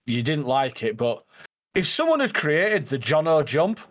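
A man, a podcast guest chatting casually, animated and teasing, repeating words as he jumps in. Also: on the high side, measured pace. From United Kingdom. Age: 30s. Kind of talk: casual conversation.

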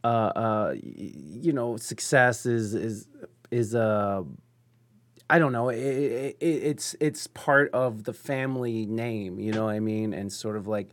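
A man from the United States hosting a casual interview, thinking aloud with many fillers and restarts. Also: fairly low pitch. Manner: halting, many fillers and restarts, then animated.

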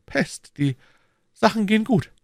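A man's voice: high voice, expressive, unhurried, creaky, dry recording, clean studio sound, pitched above the performer's own voice, heavily acted. A man voicing a muddled, timid shopkeeper in an audiobook, fawning and flustered in manner. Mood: smug, fawning. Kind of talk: audiobook character dialogue.

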